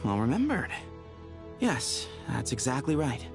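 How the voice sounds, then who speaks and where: A man's voice: medium pitch, sing-song, measured pace, gravelly, over music. A man playing an earnest, articulate young scholar who explains things in a video game. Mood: explanatory.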